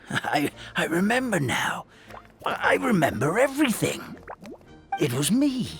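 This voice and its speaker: A kindly old forest grandfather, stammering gently as he speaks. His bright voice sits a little high and swings wildly up and down in pitch. Soft game music plays behind. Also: very animated, measured pace, heavily acted. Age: older. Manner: stammering, wistful, fond. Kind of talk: game cutscene voice acting.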